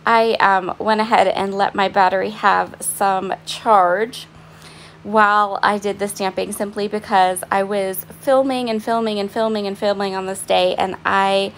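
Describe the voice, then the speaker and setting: Raspy, hoarse woman narrating crafts. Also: mid-range voice, level tone, unhurried, a little hiss or hum, rough recording. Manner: chatty and apologetic, with frequent "um"s. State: hoarse, voice partly fading. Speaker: craft vlogger. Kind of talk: casual voice-over narrating a craft process. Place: at home, in bed.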